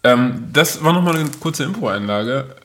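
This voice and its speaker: A man's voice: mid-range voice, lively intonation, measured pace, fairly bright tone. A man hosting a podcast in casual, comedic chat, riffing playfully in a rambling way. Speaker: podcast host.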